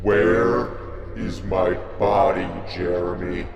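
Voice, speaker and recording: A man playing a gleeful, menacing demonic monster in a horror audio drama, taunting in drawn-out words. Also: low-pitched, steady tone, highs cut off, over music. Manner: taunting, slow, drawn-out delivery.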